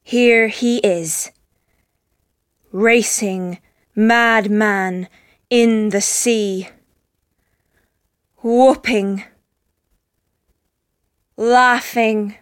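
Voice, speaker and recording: A woman's voice: on the high side, fairly even intonation, extremely slow, pauses often, smooth, dry recording, studio quality. A woman acting in a radio drama as a solemn, theatrical amateur poet reciting verse. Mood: solemn, dramatic. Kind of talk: slow performance poetry recital.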